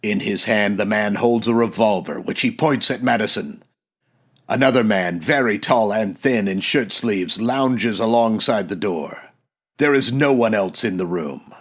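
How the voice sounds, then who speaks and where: A man's voice: fairly low pitch, a little slow. An actor playing an earnest male narrator, giving formal, dramatic descriptions in the style of a comedic 1940s radio serial.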